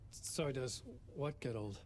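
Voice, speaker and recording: A man's voice: medium pitch, takes it slowly, a little hiss or hum, natural voice. An actor playing a weary, confused male writer as a talk show guest in a video game, speaking in a hesitant, dry way. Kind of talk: dramatic game acting, interview setting.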